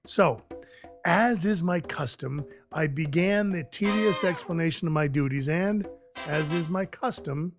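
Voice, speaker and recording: A man playing a cynical, hard-bitten Icelandic dwarf who works for Santa, narrating an audio drama in a wry, deadpan, formal way. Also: on the high side, measured pace. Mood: dry, weary. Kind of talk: noir-like first-person audio drama narration and dialogue. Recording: music behind the voice.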